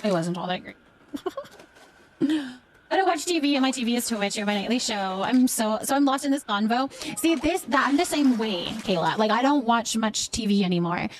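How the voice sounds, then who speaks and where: A woman's voice: a little high, animated, moderate pace. A middle-aged woman hosting a casual live stream, chatting informally and thanking viewers as she answers their comments.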